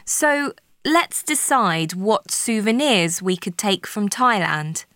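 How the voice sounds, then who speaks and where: A woman in a paired speaking-task discussion, talking deliberately and prompting her partner with questions. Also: mid-range voice, expressive, a bit bright, no room sound, clean studio sound.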